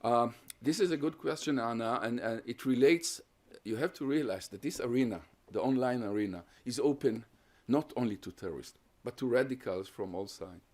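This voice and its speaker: A man gives an academic talk in an even middle voice. He sets out his points one by one in a measured, explanatory way. He also takes questions from the audience. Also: mid-range voice. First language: Hungarian. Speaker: guest lecturer on terrorism research.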